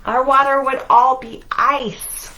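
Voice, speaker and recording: A woman leads a storytime for young children at an unhurried pace. She reads aloud in short, singsong phrases and often turns to her listeners with simple questions. There is clear background noise in the recording. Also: high voice, little pitch movement, sharp tone, rough recording. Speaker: children's library storytime host.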